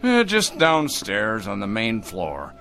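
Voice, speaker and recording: An actor in a radio drama playing a smug, sardonic factory boss zealous about machines, with grand mockery. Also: male voice, on the low side, wildly swooping pitch, slow pace, creaky, bright, forceful tone, heavily acted. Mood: smug, gloating. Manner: sardonic, grandly mocking, repeating words. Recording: radio drama with music underneath.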